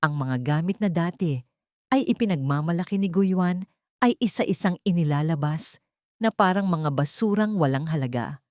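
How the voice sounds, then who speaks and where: Female storyteller for melodramatic fiction, slightly low voice, dry close recording. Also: expressive, moderate pace, darkish tone, no room sound. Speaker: story narrator. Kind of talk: dramatic scripted audio story narration.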